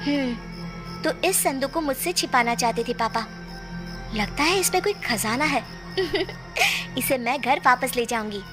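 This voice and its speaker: A woman playing a curious, easily frightened young daughter in an animated horror story, with dramatic, exclamatory delivery. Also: high voice, unhurried, long pauses, over music. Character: frightened, curious young daughter who discovers magic powers.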